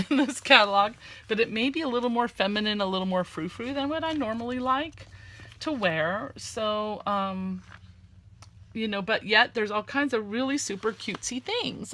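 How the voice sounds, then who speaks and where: A woman with a slight lisp and a loud, carrying voice, chatting casually and rambling a bit while she works on a craft project at home. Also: on the high side. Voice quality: loud voice that carries. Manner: chatty, rambling, with frequent fillers. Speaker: crafting vlogger.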